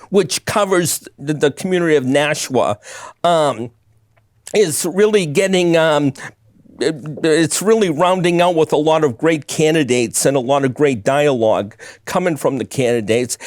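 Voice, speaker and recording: A man hosting a local cable access interview, halting, with many ums and restarts. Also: a little high, no echo, clean studio sound. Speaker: host. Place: small TV studio.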